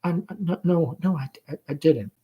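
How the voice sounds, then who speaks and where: A man voicing a painfully shy young woman in a play, with a thin, breathy, faint voice and nervous stammering. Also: fairly high pitch, normal speed, lo-fi sound, voice pushed up, natural voice. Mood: shy, hesitant. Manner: hesitant, stammering. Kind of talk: play read-aloud.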